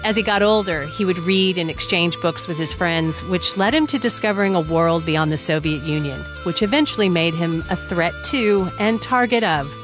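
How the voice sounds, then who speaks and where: A woman radio host reading a scripted closing narration in a steady, even way. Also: on the low side, normal speed, few pauses. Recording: music bed under the voice.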